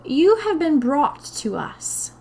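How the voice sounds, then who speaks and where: A woman's voice: high voice, very animated, takes it slowly, warm tone, pitched above the performer's own voice, exaggerated voice. A woman voicing a small, stern, schoolmistress-like leader of a sinister magical society in audio fiction, speaking in a commanding, formal, ceremonious way. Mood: imperious, solemn.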